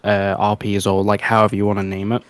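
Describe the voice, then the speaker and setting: A man's voice: lowish voice, monotone, fast. A man chatting casually on a livestream, giving critique in a hedging, explanatory way. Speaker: guest giving critique on an audio production.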